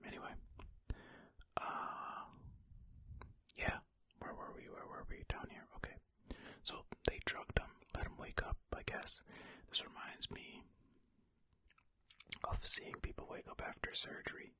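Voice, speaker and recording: An ASMR host whispering breathily ear to ear into a close stereo microphone, reading a story aloud in an unhurried, musing way with casual asides. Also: sharp tone, no room sound.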